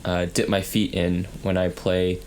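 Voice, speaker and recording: A man's voice: low-pitched, monotone, darkish tone, slight background noise, rough recording. A young man from Canada co-hosting a student podcast, talking casually with many fillers and restarts. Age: young adult. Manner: halting, many ums and likes. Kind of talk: casual conversation.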